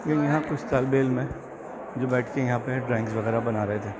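Man, 30s, casual tour guide talk, halting, phone mic, loud background noise. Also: mid-range voice. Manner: loose, halting guide talk pointing out exhibits. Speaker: travel vlogger. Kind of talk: casual walking tour narration. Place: large indoor museum hall. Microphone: phone held at arm's length with earphone mic.

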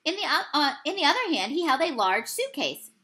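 Young female teacher, webcam read-aloud at home; lively, bright storyteller who drops to a hush for suspense. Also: sits high, a little fast. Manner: expressive storytelling, swells and hushes for suspense; apologizes for slips and losing her place. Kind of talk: children's book read-aloud. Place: small room at home, plain wall behind. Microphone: webcam at desk distance.

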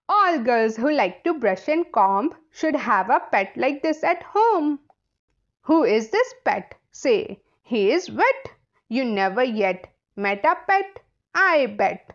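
A woman's voice: sits high, a bit bright, dull audio. A woman reading a children's picture book aloud in a playful, emphatic, sing-song way.

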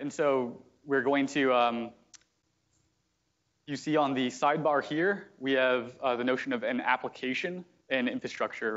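A man explaining software step by step in a live technical demo at a meetup, with frequent fillers. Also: mid-range voice, muffled recording. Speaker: software engineer giving a product demo. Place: meetup room at a company office.

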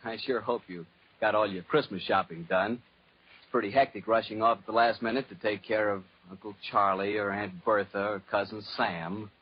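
A man's voice: lowish voice, steady tone, normal speed, slight background noise. An American man working as a 1940s radio announcer and host, delivering folksy scripted patter. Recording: muffled vintage 1940s radio broadcast.

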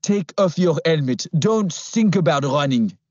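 A man's voice: on the high side, lively intonation, unhurried, a little mellow, no room sound, highs cut off, pitched above the performer's own voice. A man voicing a stern young French woman who gives cold, clipped commands in a French accent. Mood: coldly commanding.

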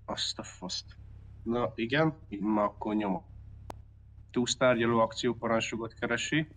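A man's voice: medium pitch, fairly bright tone. A man playing a negotiator in an online roleplay game, talking casually and relaying messages. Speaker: roleplay player acting as negotiator.

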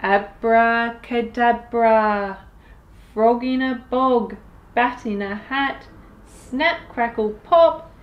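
A woman in her thirties reading a picture book aloud to young children, expressive and deliberate, as a storytime host. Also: on the high side, lo-fi sound. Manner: expressive, deliberate, with sound effects and asides to listeners.

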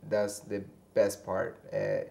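A young man from Venezuela with a Venezuelan accent, a native Spanish speaker answering interview questions haltingly, searching for words, on a lapel mic indoors. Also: fairly low pitch, completely flat delivery, vocal fry, warm tone. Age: young adult. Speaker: interview guest. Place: indoor room with a fireplace, seated.

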